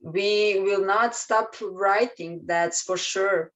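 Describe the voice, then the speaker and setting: A woman with a Serbian accent, a children's book author answering interview questions in a hesitant way, pausing often with filler sounds. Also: medium pitch, fairly even intonation, dull audio.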